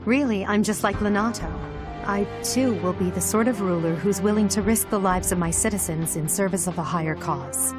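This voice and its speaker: A woman playing a composed, resolute young noblewoman in a video game, speaking in a measured, earnest, formal way. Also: mid-range voice, close to an everyday voice. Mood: grave resolve. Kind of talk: scripted video game voice acting. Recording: loud music behind the voice. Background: game soundtrack music.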